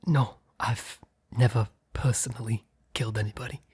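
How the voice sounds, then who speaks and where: A man playing a mob boss boyfriend, blunt yet flustered, in an intimate ASMR roleplay with a breathy, halting delivery. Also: medium pitch, steady tone, darkish tone. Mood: confessional, cold. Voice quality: breathy, husky, often near whisper. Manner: halting, sighing, blunt, swearing.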